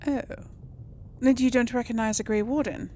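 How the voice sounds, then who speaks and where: A woman's voice: a little high, fairly even intonation, normal speed, warm tone, a little hiss or hum. A woman doing casual, musing game commentary, thinking aloud as she plays and role-plays. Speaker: gamer host of a role-play let's play.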